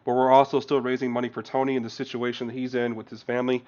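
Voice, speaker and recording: A man hosting a livestream, upbeat and quick to laugh. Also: medium pitch, little pitch movement, a little mellow, dull audio. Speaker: stream host.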